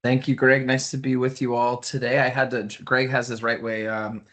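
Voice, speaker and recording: A man's voice: medium pitch, flat delivery, normal speed, a bit bright. A man giving a friendly, chatty company overview on a webinar, restarting sentences often. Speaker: sales executive. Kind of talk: business webinar presentation. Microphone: video call.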